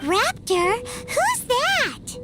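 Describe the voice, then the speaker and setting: Very squeaky perky little fairy. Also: female voice, sing-song, extremely slow, heavily acted. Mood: curious. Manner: refers to self in third person, exclamatory. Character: tiny childlike floating fairy companion, perky and curious. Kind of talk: English video game voice acting. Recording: loud game music behind voice.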